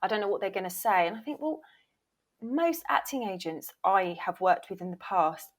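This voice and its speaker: A woman's voice: mid-range voice, animated, moderate pace. A woman giving candid, emphatic answers as a guest in a conversational interview. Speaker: podcast guest, voiceover reel producer and former agent.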